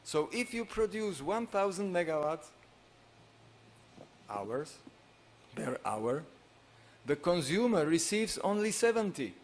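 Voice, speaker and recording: A middle-aged man with a Slovak accent giving a conference talk, halting and full of pauses, at a stage microphone. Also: sits high, sing-song, a little hiss or hum. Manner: halting, many filler pauses, non-native phrasing. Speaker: conference speaker. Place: large conference hall on stage.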